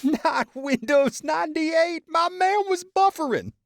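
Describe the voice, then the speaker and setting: A man's voice: very high-pitched, measured pace, gravelly, a bit bright, dry recording, clean studio sound, voice pushed up, full character voice. A man voicing a young brother mocking his sibling while laughing hysterically. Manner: shrieking, mocking.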